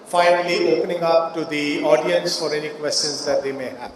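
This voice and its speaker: A man's voice: fairly high pitch, steady tone, a little fast, a crowd in the background. Panel moderator guy, lots of uh's, kinda far from the mic, echo everywhere. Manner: hesitant, heavy "uh" fillers. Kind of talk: opening remarks. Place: large conference hall. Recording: distant, very echoey hall with faint noise.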